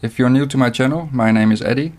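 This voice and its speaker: A young man from the Netherlands with a Dutch accent, walking through a software tutorial step by step with short pauses. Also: medium pitch. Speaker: video editing tutor. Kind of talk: screen-recorded software tutorial.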